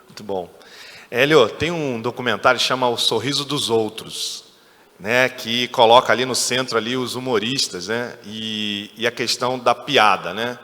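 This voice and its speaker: A man's voice: mid-range voice, moderate pace, sharp tone. A man moderating a conference panel, asking questions in a conversational way. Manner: conversational, leading questions with frequent "né". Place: conference stage. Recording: reverberant hall.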